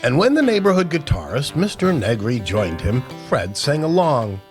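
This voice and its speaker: A man hosting a children's storytime, reading aloud with expressive, drawn-out emphasis on key words. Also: medium pitch. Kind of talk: animated picture-book read-aloud for children. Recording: music playing behind the voice. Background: guitar music.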